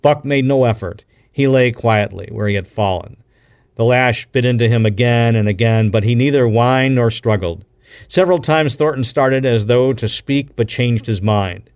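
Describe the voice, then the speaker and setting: A man reading an adventure novel aloud with a deliberate, dramatic storytelling delivery, like a practiced broadcaster. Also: medium pitch, a little mellow, clean tone. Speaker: audiobook reader, radio broadcaster.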